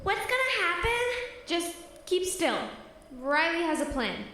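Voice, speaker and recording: Anxious, very high-voiced boy. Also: sing-song, measured pace, recorded from a distance, a little hiss or hum, full character voice. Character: anxious young boy adventurer, excitable sidekick. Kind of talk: audio drama acting. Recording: echoey reverb, loud music behind.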